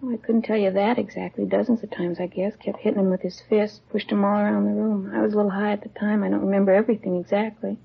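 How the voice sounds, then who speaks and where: An actress playing a jaded, evasive addict of twenty-three in a radio drama, talking in clipped, offhand slang with a husky, gravelly voice. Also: female voice, medium pitch, level tone, quick pace, few pauses, slight background noise, natural voice. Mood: evasive, weary. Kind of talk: 1950s radio drama acting. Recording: muffled old radio broadcast recording.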